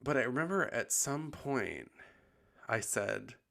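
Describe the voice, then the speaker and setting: A man hosting a podcast in casual confessional chat, sounding husky and tired after bronchitis, rambling with lots of likes and ums. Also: mid-range voice, sing-song, slow pace, fairly bright tone. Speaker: podcast host.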